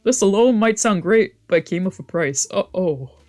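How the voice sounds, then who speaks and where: A casual game streamer with a mid-pitched voice. They read story text aloud and break off into loose, sweary commentary and exclaimed reactions. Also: expressive, normal speed, a little mellow, soft background music. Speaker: streamer doing a let's play.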